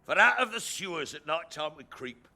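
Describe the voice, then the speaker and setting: A man's voice: on the high side, animated, moderate pace, gravelly, pushed and bright, slight background noise, exaggerated voice. An actor playing a boastful, ill-tempered rat king in a pantomime. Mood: boastful menace. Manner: theatrical, pompous, rhyming delivery to an audience. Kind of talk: British pantomime stage acting.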